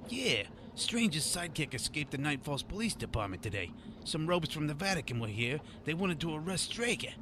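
An actor playing a nervous, shifty streetwise informant on the run in a radio drama. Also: male voice, on the high side, sing-song, a little fast, noisy background. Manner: jittery, streetwise. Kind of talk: radio drama monologue and dialogue.

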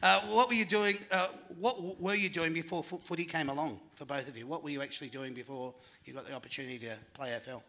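Bright-voiced Australian host working a crowd. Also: male voice, a little high, fairly even intonation, fast talker, hardly any pauses. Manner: chatty, jokey, works the crowd. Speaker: panel show host. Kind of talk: live panel show hosting. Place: function room with live audience. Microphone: handheld mic.

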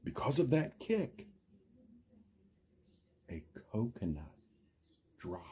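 A man reading a children's picture book aloud, speaking directly to the children like a schoolteacher, with theatrical drawn-out words and dramatic pauses. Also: medium pitch, sing-song, mellow. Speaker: storyteller, reader to young children.

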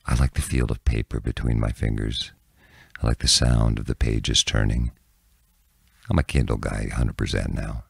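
An older man chatting casually as a podcast guest, telling stories in an animated way and repeating phrases for emphasis, close on the microphone. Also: a bass voice, normal speed, creaky, breathy edge, no room sound. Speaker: podcast guest, TV news broadcaster. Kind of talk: casual chat, storytelling. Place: small indoor room with bookshelves. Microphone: close podcast microphone on arm.

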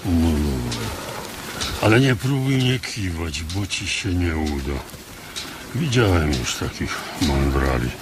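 A stern ranch boss in a radio drama, low-voiced and probing. He speaks slowly with expressive swings, suspicious and in charge, with birds in the background. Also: very noisy, heavily acted. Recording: outdoor ambience with birdsong.

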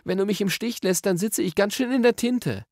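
A man's voice: sits high, a little fast, no echo, clean studio sound, pitched above the performer's own voice. A man voicing an anxious, stubborn young boy in a storybook, pleading and insistent. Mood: grudging, pleading. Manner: urgent, insistent.

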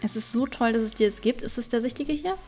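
Casting: woman, fairly high voice, chatty gaming host thinking aloud over soft background music. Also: quick pace. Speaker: gaming streamer and let's play host. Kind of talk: casual let's play commentary.

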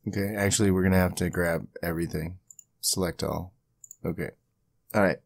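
A man with a low, gravelly voice hosts a casual software tutorial. He talks informally and rambles a bit, thinking aloud as he works through each step on screen. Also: fairly even intonation, a little fast, slight background noise. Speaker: tutorial host.

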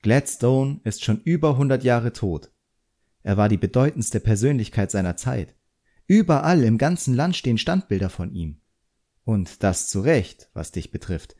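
A frank, composed woman who teaches drawing, voiced by a male audiobook reader. The voice is mellow, and the delivery is measured and instructive with a pointed edge. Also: medium pitch, lively intonation.

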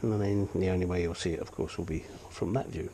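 A man's voice: deep voice, steady tone, fast, warm tone, a little hiss or hum. An older man with a sniffly nose giving a blunt, chatty walkthrough of his engine work in a home garage. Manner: blunt, chatty, self-deprecating. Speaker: hobbyist engine restorer. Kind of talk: casual workshop walkthrough. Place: cluttered home garage workshop.

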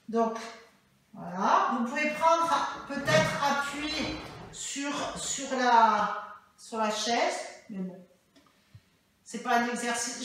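A high, bright-voiced middle-aged woman guides a balance workout in a calm, instructive way, tagging "hein" onto her cues. Her voice rings around a bare, hard-floored room, picked up from a camera standing well back. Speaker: fitness instructor. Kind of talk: guided balance exercise class. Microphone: far camera. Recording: echoey room from a distance.

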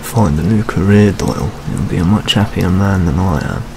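A young man playing a jaded, world-weary British police detective in an ASMR roleplay, speaking in a hushed, deliberate manner. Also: low-pitched. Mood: weary, confiding. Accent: British English. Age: 20s. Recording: steady rain ambience noise. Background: rain sounds.

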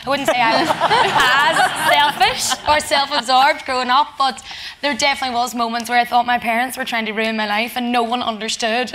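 Young woman, self-mocking, giggly, fairly high voice. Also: steady tone, brisk, sharp tone, some chatter behind, a little hiss or hum, poor audio. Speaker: actress on a panel. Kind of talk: festival panel conversation. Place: stage in front of a live audience.

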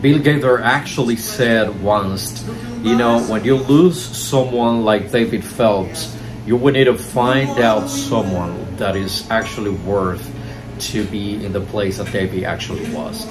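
A man's voice: lowish voice, unhurried, music in the background, poor audio. A young man from Cuba, a show host telling a story to the camera in an effusive, enthusiastic way while seated on a couch indoors. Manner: effusive, enthusiastic, heaps praise. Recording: steady background noise.

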